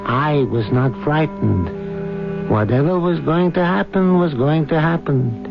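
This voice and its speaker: A calm, watchful man telling a tale in an old radio play. His voice is mid-pitched and very unhurried, deliberate and philosophical. The recording is dull, with music behind. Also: gravelly, heavily acted. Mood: calm, resigned. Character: unruffled, observant male witness telling the tale. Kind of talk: radio drama narration and dialogue.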